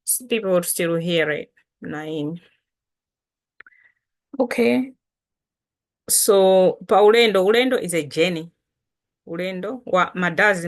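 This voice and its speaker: Woman from Malawi, Chitumbuka native, language teacher; slow, patient delivery, lowish voice. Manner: unhurried, patient, repeats and spells out words. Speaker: language teacher and channel host. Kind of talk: casual language lesson to camera.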